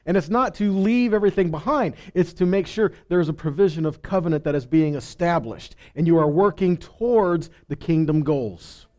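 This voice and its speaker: An animated male preacher in his thirties, moving around a small stage with a headset mic. His voice sits fairly high for a man but has a dark, mellow tone. He shifts between teaching and reading scripture. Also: normal speed, few pauses. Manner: animated, gesturing while preaching, reads scripture aloud. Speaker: pastor. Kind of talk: sermon teaching. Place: small stage with purple lights, indoors.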